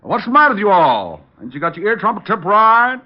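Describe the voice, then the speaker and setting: A man's voice: sits high, sing-song, fairly quick, voice pushed up. A man putting on a cranky old rustic hog farmer with drawling backwoods talk, in a 1940s radio comedy style. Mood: cranky, folksy. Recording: dull old-time radio recording.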